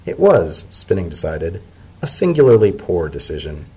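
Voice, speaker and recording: A man's voice: sits low, sing-song, moderate pace, mellow, breathy edge, rough recording. A man narrating a story as a dramatic reading.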